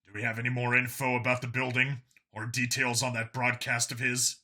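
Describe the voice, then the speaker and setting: A man's voice: medium pitch, little pitch movement, a little fast, pushed and bright. A man voicing a terse, weary human detective in a sci-fi story reading. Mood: resigned, focused. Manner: terse, grumbling. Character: Vincent, gruff weary human detective on dangerous missions.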